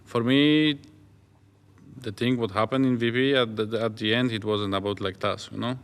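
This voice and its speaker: A man's voice: medium pitch, steady tone, moderate pace, clean tone, in a large hall. A male pro gamer on a panel, speaking hesitantly with many fillers. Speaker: pro player. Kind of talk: casual esports roundtable chat.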